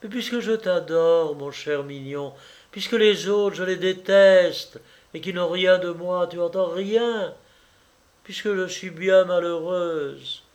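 A man reading aloud and voicing a capricious, tearful young woman who coaxes her lover for money, in a slow storybook reading. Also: sits high, a little mellow, pitched above the performer's own voice. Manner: pleading, wheedling, sobbing.

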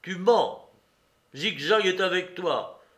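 A man voicing a hot-tempered, rough fairground fellow with blustering, colloquial speech in an audiobook novel. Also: high voice, normal speed, a bit bright, pitched above the performer's own voice. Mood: angry, threatening.

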